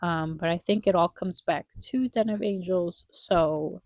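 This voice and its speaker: Congested, low-voiced young woman chatting. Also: a little slow, darkish tone, no echo, studio quality. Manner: rambling, wistful, heavy on fillers. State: congested nose. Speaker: vlogger. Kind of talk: casual chat while drawing.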